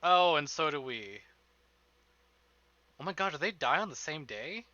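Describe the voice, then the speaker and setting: A man giving casual, profane livestream commentary on a game, expressive and often talking to himself. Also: a little high, a little slow, no echo, highs cut off. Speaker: streamer playing a visual novel. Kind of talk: casual livestream commentary with dramatic read-aloud of game text. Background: game music.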